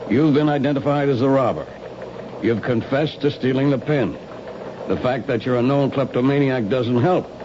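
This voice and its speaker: An actor playing a skeptical, world-weary police lieutenant, wry and colloquial, in a 1970s radio drama. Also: male voice, mid-range voice, normal speed, natural voice. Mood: skeptical, probing. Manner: wry, colloquial, matter-of-fact. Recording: dull, noisy old radio recording.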